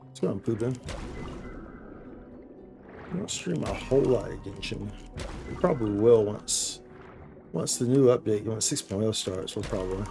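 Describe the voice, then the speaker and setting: A man's voice: on the low side, animated, normal speed, creaky, pauses often, warm tone, faint music behind. A man chatting casually on a live gaming stream, slangy and playful, rambling and often repeating his phrases. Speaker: game streamer, host.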